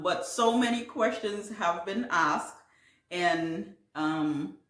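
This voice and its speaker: A woman from Guyana with a warm Caribbean accent talks at an unhurried pace, answering viewer questions at home. She rambles gently with fillers and restarts. Her voice carries a noticeable room echo. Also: fairly low pitch. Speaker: gardening channel host. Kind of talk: casual Q&A vlog.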